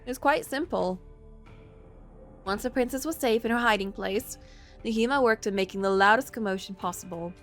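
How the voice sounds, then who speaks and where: A woman streamer reading a story game aloud, mixing expressive narration with casual asides. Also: fairly high pitch, moderate pace, faint music behind.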